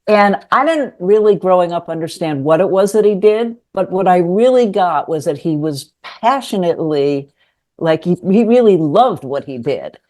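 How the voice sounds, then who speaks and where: An older woman from New York City, a lawyer and writer, answering interview questions in a thoughtful, hesitant way with many pauses. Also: fairly low pitch, expressive, a little mellow. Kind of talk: conversational interview.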